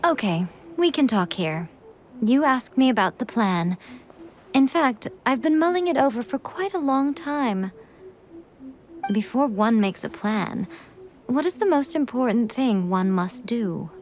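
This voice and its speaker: A woman playing an elegant, shrewd fox spirit in a video game, teasing and coy, with measured, formal phrasing. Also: fairly high pitch, lively intonation. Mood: composed, explanatory. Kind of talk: scripted video game voice acting. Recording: background music under the voice. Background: game soundtrack music.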